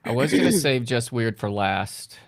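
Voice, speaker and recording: A man's voice: mid-range voice, sing-song, moderate pace. A man joking casually as a comedy show panelist, with a group talking behind him.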